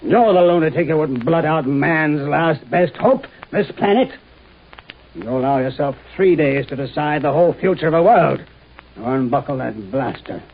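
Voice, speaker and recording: An actor playing an earnest, idealistic professor driven to desperation in a 1950s radio drama, arguing intensely. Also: male voice, on the high side, lively intonation, normal speed, vocal fry, slightly rough, full character voice. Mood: desperate, threatening. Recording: muffled old radio recording.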